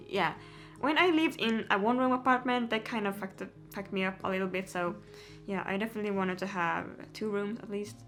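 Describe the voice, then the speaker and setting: Chatty young woman vlogging. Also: medium pitch. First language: Swedish. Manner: chatty, giggly, lots of "like" and "yeah". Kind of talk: casual Q&A chat to camera.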